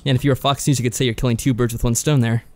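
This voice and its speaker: A man co-hosting a podcast in casual review chat, agreeable and measured in his wording. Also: mid-range voice, little pitch movement. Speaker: podcast co-host.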